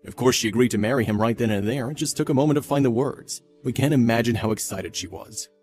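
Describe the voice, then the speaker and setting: Fast-talking male narrator, mellow tone. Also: medium pitch, breathy edge. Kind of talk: scripted viral story narration. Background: faint background music.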